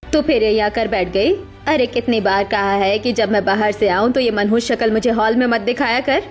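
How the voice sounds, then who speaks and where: A woman's voice: a little high, moderate pace, natural voice. A woman voicing a harsh, contemptuous middle-aged mother in a dramatic Hindi cartoon story. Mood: angry, contemptuous. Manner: scolding, contemptuous. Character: harsh, scornful middle-aged mother berating her daughter. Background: music.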